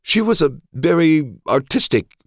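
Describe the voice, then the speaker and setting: A man's voice: a little high, sing-song, unhurried, dry recording, studio quality, voice pushed up. A man voicing a flamboyant lord in an audiobook, a jovial friend given to theatrical, exclamatory mock outrage. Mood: wounded protest. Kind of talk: audiobook character voice.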